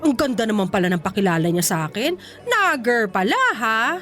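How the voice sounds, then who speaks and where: A woman playing a proud, sharp-tongued young mother and ex-wife in a Filipino radio drama, with pointed, sassy delivery. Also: a little high, big pitch swings, brisk, soft background music. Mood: sarcastic, offended.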